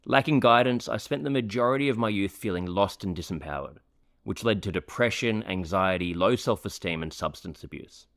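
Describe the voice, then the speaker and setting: A man hosting a podcast, reading an earnest, measured introduction and then chatting with his guest. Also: fairly low pitch, fairly bright tone, no echo, clean studio sound. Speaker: podcast host. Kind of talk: scripted podcast intro, then casual interview.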